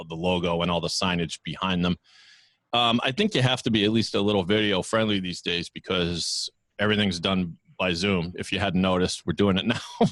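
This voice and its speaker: A chatty, self-deprecating man speaks into a studio microphone from his podcast studio. His voice sits very low, and the sound is close and dry with no room around it. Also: fairly bright tone, dry recording. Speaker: podcast network founder, guest. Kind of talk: casual interview over video call. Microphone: studio microphone with headphones.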